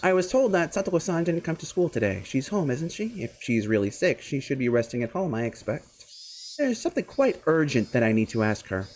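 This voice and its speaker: A man's voice: fairly high pitch, big pitch swings, brisk, a little mellow, a little hiss or hum, pitched above the performer's own voice. A man voicing a polite but firm social worker who speaks formally and insistently.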